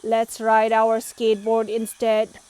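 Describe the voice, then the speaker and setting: A woman voicing an eager, proud robot cat in a children's storybook, with booming, declarative delivery. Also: completely flat delivery, takes it slowly, darkish tone, smooth. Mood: eager, loud. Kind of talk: children's storybook read-aloud.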